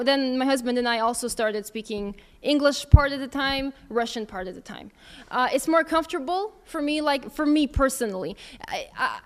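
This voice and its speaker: A woman's voice: high voice, smooth. A woman in her thirties answering audience questions in a candid, conversational way, thinking aloud as she goes. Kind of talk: casual Q&A talk.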